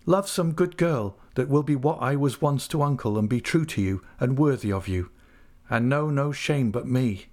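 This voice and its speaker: A man's voice: medium pitch, animated, normal speed, a little mellow, voice pushed up, natural voice. A man reading out a remorseful young woman's farewell letter in a storybook, with a sorrowful, pleading, lingering delivery.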